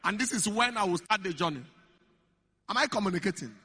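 A man preaching a sermon, stressing key phrases and repeating them slowly. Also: high-pitched, expressive, pushed and bright, slightly rough. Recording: echoey hall.

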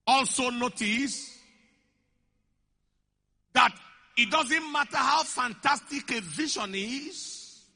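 A man preaching a sermon, stressing key phrases and repeating them slowly. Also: very high-pitched, creaky, sharp tone, husky. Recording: echoey hall.

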